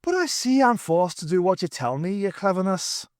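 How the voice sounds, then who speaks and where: A man voicing a sorrowful, pleading Irish space traveller with a high, strained voice and an Irish accent. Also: very animated, normal speed, no echo, studio quality.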